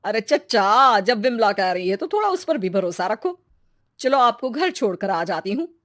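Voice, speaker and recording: A woman's voice: mid-range voice, very animated, normal speed, a bit bright. A woman voicing a curious, chatty young village woman in a moral-story cartoon, with colloquial exclamations. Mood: concerned.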